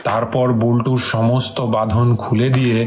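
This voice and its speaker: A man's voice: medium pitch, level tone, normal speed. A man giving scripted narration for a cartoon story. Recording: roomy echo with clear music underneath.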